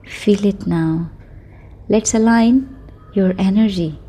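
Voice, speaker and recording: A woman guiding a sleep meditation in a soothing, unhurried manner, speaking affirmations in short phrases with long pauses. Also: mid-range voice, warm tone, breathy edge. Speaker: meditation guide.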